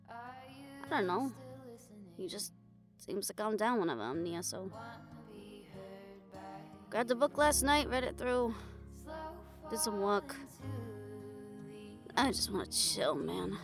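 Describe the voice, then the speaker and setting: A woman's voice: medium pitch, natural voice. A woman playing a wry, affectionate, bossy girlfriend in an improvised, intimate audio roleplay, talking casually with slangy teasing and swearing. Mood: tired, tender. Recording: music behind the voice.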